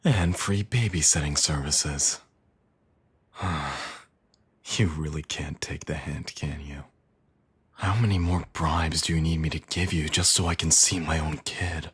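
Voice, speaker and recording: A man's voice: very deep voice, a little slow, fairly bright tone, husky, full character voice. A man playing a smug, teasing supervillain and doting father in an intimate ASMR audio roleplay, coaxing and sardonic. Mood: sardonic, insistent.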